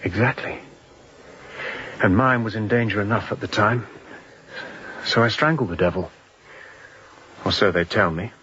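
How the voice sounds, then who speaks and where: An actor playing an exhausted, intense, unrepentant fugitive chief mate in a radio drama, speaking in clipped, grim sentences. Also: male voice, lowish voice, normal speed, long pauses, fairly bright tone, dull audio, close to an everyday voice. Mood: bitter, intense. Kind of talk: radio drama acting, confessional storytelling.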